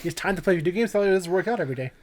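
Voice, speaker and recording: A man's voice: high-pitched, lively intonation, very fast. A man co-hosting a casual chat among friends, rambling along and often agreeing with the others. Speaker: podcast co-host.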